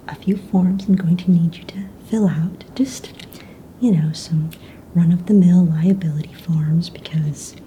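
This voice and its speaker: A woman playing a calm, businesslike young fantasy egg hunt official in a breathy, soft-spoken ASMR roleplay, casual and matter-of-fact. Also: fairly low pitch, a little hiss or hum, rough recording. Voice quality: breathy, whispery.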